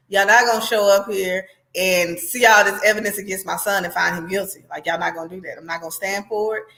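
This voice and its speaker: A woman's voice: mid-range voice, gravelly, sharp tone. A woman hosting casual reaction commentary, talking straight to her viewers in a conversational, sardonic way. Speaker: commentary host.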